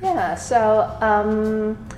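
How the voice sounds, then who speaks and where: A woman's voice: medium pitch, animated, drawn-out words, darkish tone, echoey room. A woman from Canada co-hosting a radio show, talking in a friendly, casual way with many fillers. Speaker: radio co-host.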